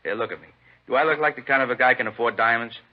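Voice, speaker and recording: A man's voice: medium pitch, racing, lightly acted. An actor playing a mouthy, indignant bar suspect protesting his innocence in slangy 1950s radio crime drama style. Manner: slangy, loud, aggrieved. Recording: dull 1950s radio recording.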